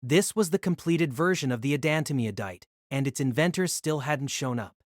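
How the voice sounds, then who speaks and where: A man narrating an audio drama in scripted storytelling style, expressive and engaged. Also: normal speed, no room sound, clean studio sound. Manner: expressive storytelling with lively pitch.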